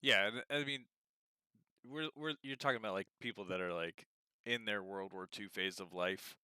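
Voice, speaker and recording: A man co-hosting a gaming podcast, making wry deadpan jokes with casual swearing. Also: on the low side, normal speed, smooth, dry recording. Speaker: co-host.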